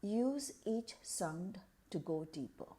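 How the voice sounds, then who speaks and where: A woman leading a guided meditation in a calm, measured, deliberate way, pausing between short phrases. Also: medium pitch.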